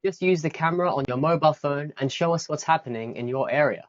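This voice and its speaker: A news presenter reading scripted narration in an even manner. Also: mid-range voice, fast talker. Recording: dull lo-fi sound.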